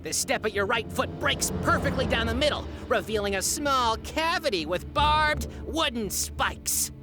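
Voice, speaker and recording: An actress playing a playful, teasing game master narrating a tabletop game in a comedy audio drama. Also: female voice, a little high, unhurried, over music, lightly acted. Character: gleeful young woman game master, mischievous.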